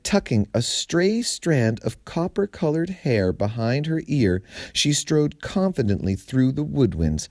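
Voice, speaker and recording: A man reading a humorous story aloud in an expressive, theatrical way with deliberate phrasing. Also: mid-range voice, no echo, clean studio sound.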